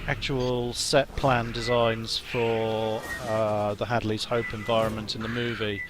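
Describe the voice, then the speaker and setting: A man, a game developer, chatting hesitantly on a live stream while playing, somewhat hoarse as he loses his voice. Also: fairly low pitch, little pitch movement, measured pace. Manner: hesitant, many ums and restarts. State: losing his voice, coughing. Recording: music playing behind.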